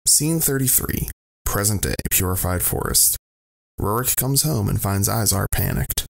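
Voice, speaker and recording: A man's voice: on the low side, expressive, unhurried, creaky, rough recording. A man giving scripted narration of scene headings as a narrator.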